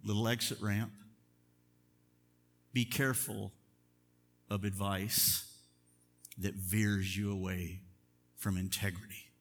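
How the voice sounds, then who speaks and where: A middle-aged man, a pastor, preaching a sermon in an earnest, measured way, slowing down to stress key words. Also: on the low side, flat delivery. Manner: earnest, measured preaching with deliberate slow emphasis. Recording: long reverb of a large hall.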